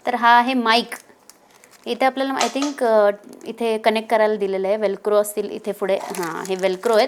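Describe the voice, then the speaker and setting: A woman's voice: a little high, slight background noise. A woman casually explains a product as a vlogger, hesitating as she goes and mixing in English words. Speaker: motovlogger presenting a product. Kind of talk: casual unboxing and installation demonstration.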